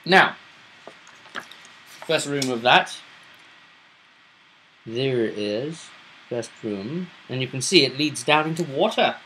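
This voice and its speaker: A man's voice: mid-range voice, expressive, slow, long pauses, sharp tone. A man in casual explanatory chat as a game master commenting on a session, recorded with noisy ambience behind him. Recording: clearly noisy background ambience.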